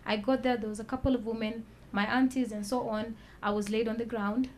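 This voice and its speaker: A woman telling her own story as a talk-show guest, soft-spoken and measured, pausing with fillers. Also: fairly high pitch, fairly even intonation, slight background noise. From Kenya. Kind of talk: personal testimony in a talk show.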